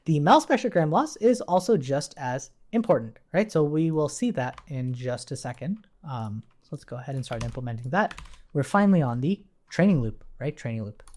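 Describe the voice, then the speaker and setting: A man's voice: fairly high pitch, a little mellow. A man teaching in an informal, conversational way, explaining step by step as a coding instructor and often checking in as he goes. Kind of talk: informal technical tutorial walkthrough.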